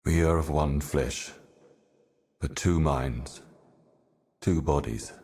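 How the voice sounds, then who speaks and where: Casting: ancient cryptic cosmic being, fantasy game. Bottomless bass, solemn, portentous, measured prophet of doom. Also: male voice, darkish tone, full character voice. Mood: solemn foreboding. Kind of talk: theatrical fantasy game monologue.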